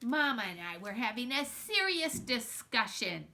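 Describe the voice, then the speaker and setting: A woman's voice: on the high side, sing-song, a little slow, pushed and bright, exaggerated voice. A woman voicing a headstrong, easily indignant eleven-year-old girl in an emphatic, dramatic way for a storybook reading. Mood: stern.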